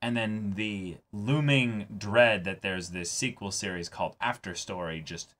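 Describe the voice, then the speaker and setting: Male reaction-show host, lower register, conversational and emphatic, voices imagined asides in everyday slang. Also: a little slow, fairly bright tone. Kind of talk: casual discussion of an anime episode.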